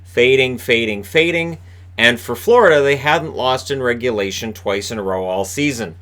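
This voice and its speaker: A man hosting a casual solo hockey recap from a room in his home, with a booming voice, talking in a rambling, chatty way with lots of fillers and restarts. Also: moderate pace, fairly bright tone. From Canada. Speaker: hockey commentator and video host.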